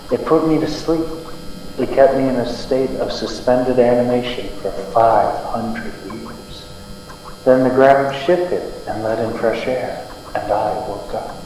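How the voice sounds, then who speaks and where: A young man playing an earnest, polite heroic space adventurer in an old-time radio serial, speaking deliberately. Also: medium pitch, warm tone, lightly acted. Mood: solemn recounting. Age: young adult. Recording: very echoey hall, distant, faint hum.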